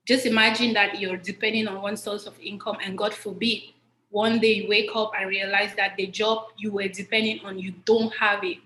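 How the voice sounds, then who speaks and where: An earnest woman from Cameroon answers questions on a livestream interview, repeating her key points so they land. Her voice sits at a middle pitch. The sound bounces around a roomy, echoey space. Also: a bit bright. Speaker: guest, business distributor. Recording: echoey room.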